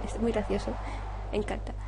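A woman's voice: mid-range voice, level tone, normal speed, heavy background noise. A young woman giving informal let's play commentary on a video game at home, reading dialogue aloud. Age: young adult. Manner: informal, swears. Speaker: gaming video host.

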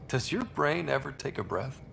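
Casting: a steady young explorer, plainspoken, gravelly edge, mid pitch. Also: male voice, moderate pace, soft background music, full character voice. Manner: calm, earnest, plainspoken. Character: young male space explorer, steady leader. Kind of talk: video game cutscene dialogue.

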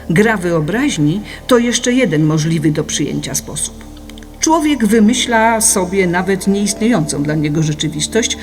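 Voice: mid-range voice, close to an everyday voice. A narrator reading a scripted philosophical audiobook in an explanatory, didactic manner. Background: music.

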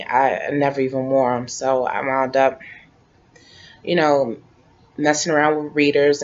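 A woman in her late forties chatting casually to camera from her living room couch, relaxed and rambling, repeating herself as she talks. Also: rumbling low. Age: 40s. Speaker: vlogger. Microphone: webcam. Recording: dull lo-fi webcam audio.

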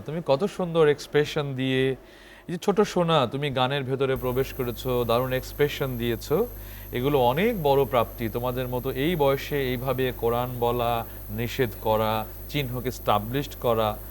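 A man's voice: mid-range voice, a little fast. Male host, Bangladeshi accent, mid-range voice, formal presenter delivery, some background noise. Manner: formal announcer-like address to viewers. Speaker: TV show host. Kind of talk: presenting a children's song programme.

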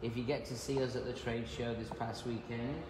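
Chatty croaky-voiced man teaching haircuts. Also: mid-range voice, little pitch movement, a little fast. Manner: chatty, joking, teaching as he cuts. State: slightly croaky voice. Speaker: hairdresser and educator. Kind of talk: live haircut demonstration. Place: large bright hair salon, indoors. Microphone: phone camera held nearby. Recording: echoey salon room with noise.

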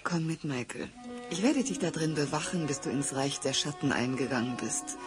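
A woman's voice: low voice, moderate pace, over music, close to an everyday voice. A woman playing a seductive, taunting villain's accomplice in a German radio play.

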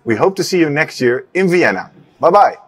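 A man in his thirties hosting a live congress broadcast, quick and upbeat with many fillers, on a lapel mic in a studio set. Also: a little high, expressive, husky. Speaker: congress host. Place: studio set with a long desk.

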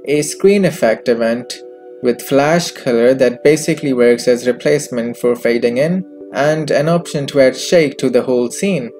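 Bright-voiced man narrating a software tutorial. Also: medium pitch, moderate pace. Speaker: tutorial presenter. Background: background music.

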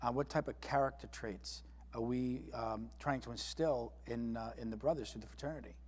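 Husky-voiced male moderator. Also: mid-range voice, normal speed, darkish tone, long reverb tail. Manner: conversational, poses questions. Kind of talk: moderating a panel discussion.

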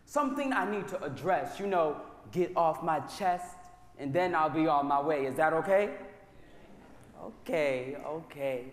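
Man, high voice, unhurried spoken word recital, reverberant sanctuary. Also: animated. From United States. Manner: rhythmic, rhyming, with emphatic slow repetitions. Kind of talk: spoken word poetry performance. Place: church sanctuary during a live concert. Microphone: stage microphone. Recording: long hall reverb from a large church.

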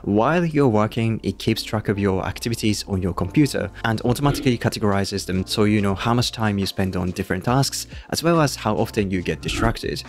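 A man's voice: lowish voice, a little fast, few pauses. A man in his thirties with a Japanese accent, presenting a scripted review to camera into a close microphone. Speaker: YouTube tech reviewer. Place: small room indoors. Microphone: close podcast-style microphone on a boom. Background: background music.

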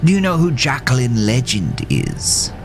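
A man's voice: mid-range voice, huge pitch swings, measured pace, over music, voice pushed up. A man voicing a dapper, sinister talking horse in a horror audio story, theatrical, formal and effusively genteel. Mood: darkly gossiping.

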